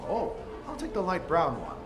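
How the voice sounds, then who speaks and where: A man's voice: fairly high pitch, wildly swooping pitch, normal speed, over loud music. A man playing an affable, bumbling police detective in a 1940s-style radio drama, flustered and jovial. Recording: echoey theater with audience noise.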